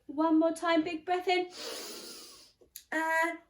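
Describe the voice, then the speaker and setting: A woman reading a children's story aloud like a teacher, expressively and deliberately, stressing key words. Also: very high-pitched, flat delivery. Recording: roomy, echoey sound.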